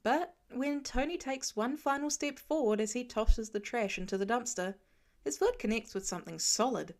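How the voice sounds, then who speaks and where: A woman narrating a fan fiction story aloud with expressive storytelling. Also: on the high side. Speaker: podfic reader.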